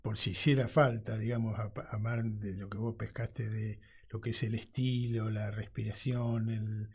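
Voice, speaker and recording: A man's voice: on the low side, flat delivery, moderate pace, darkish tone, breathy edge. A man, a radio host who talks chattily, affirms often and restarts his phrases a lot. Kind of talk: radio conversation.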